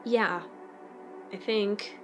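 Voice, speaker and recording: A woman's voice: mid-range voice, fairly even intonation, very slow. A woman playing an anxious, sarcastic teenage boy who narrates his own story in an amateur comic dub. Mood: shaky, subdued. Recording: amateur old-device recording, music behind. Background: video game music.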